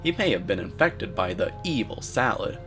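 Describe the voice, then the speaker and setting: Deadpan low-voiced man narrating over music. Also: moderate pace. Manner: deadpan, mock-serious. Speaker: narrator, video commentator. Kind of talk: scripted commentary narration.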